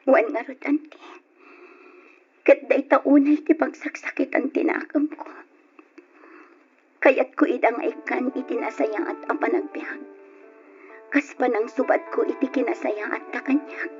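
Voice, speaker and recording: A woman's voice: high-pitched, fairly even intonation, unhurried, long pauses. A woman acting a grieving young woman in an old radio drama, with a tearful, melodramatic delivery. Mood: subdued, sorrowful. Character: grieving young woman who lost both parents. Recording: dull lo-fi radio drama with music.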